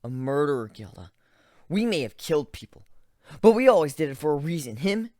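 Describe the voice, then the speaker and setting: A reader voicing a traumatized, frightened and angry griffin in a dramatic story reading, halting and overwrought. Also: mid-range voice, very animated, no room sound, clean studio sound, natural voice. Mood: anguished, vehement. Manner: overwrought, halting, swinging from hushed to shouting. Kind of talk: dramatic reading of fan fiction.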